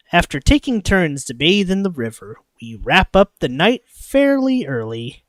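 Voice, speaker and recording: Animated streamer reading a story aloud, unhurried. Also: medium pitch, no room sound. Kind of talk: livestream narration with casual asides.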